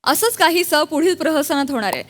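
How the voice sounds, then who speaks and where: High-voiced woman announcing a skit. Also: animated, fairly quick, background noise. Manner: declamatory. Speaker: show announcer. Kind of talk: scripted rhyming introduction.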